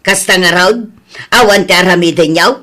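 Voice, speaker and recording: A woman's voice: lowish voice, steady tone, normal speed, natural voice. A woman with an Ilocano accent playing an outspoken, scolding village woman, blunt and firm, in an audio drama. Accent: Ilocano, northern Philippines. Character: scolding, outspoken village woman who stands her ground.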